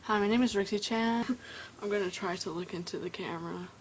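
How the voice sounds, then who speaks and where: A woman's voice: mid-range voice, a little fast, slight background noise. A woman vlogging at home to her camera, stuttering and stopping mid-sentence to think. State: tired. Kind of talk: casual talk to camera. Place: at home indoors.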